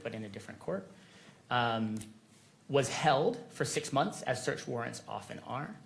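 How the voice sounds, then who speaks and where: A man speaking as an attorney in court, making a measured, deliberate formal argument. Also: medium pitch, vocal fry, a bit bright. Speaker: attorney for a media coalition.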